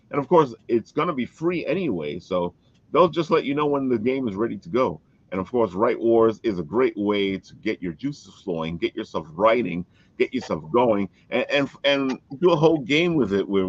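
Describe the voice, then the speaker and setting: A man's voice: mid-range voice, no echo, muffled recording. A man hosting a podcast, chatting casually and enthusiastically as he plugs things to his audience. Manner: enthusiastic, plugging. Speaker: podcast host. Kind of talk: casual promotional chat.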